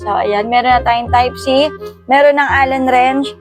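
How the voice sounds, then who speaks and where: A woman's voice: high-pitched, normal speed, faint music behind. A Filipino woman talking casually and playfully to viewers as she presents a product in an unboxing vlog. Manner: playful, giggly, chatty with exclamations. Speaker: vlogger unboxing a motorcycle intercom.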